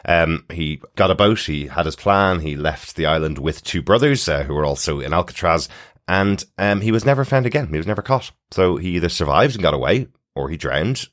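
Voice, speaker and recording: A man's voice: sits low, animated, fairly quick, a bit bright. A man co-hosting a podcast in a casual, conversational TV recap style. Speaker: podcast co-host.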